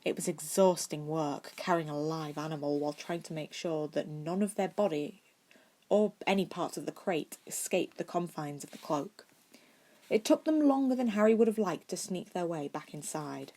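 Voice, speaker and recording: A woman with a slightly low voice reads a scripted story aloud. She is an amateur narrator, reading expressively and now and then tripping over a word. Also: moderate pace, mellow. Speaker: amateur audiobook reader. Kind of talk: scripted fan fiction narration.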